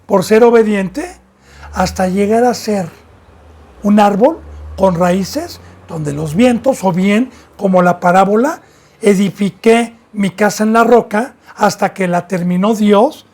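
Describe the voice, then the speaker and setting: A man from Mexico preaching a fervent sermon as pastor, swinging between loud and soft and sometimes stumbling over his words. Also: sits high.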